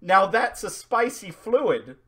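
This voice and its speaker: A man playing a cheerful pitchman reading a parody old-time radio commercial. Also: sing-song, slow pace, fairly bright tone, slightly rough, full character voice. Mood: upbeat. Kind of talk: mock radio ad read.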